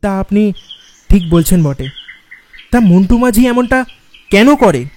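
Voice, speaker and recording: A man's voice: high-pitched, expressive, a little slow, darkish tone. A man voicing an agitated, frightened male passenger in a horror audio story, prone to stammering. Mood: shaken.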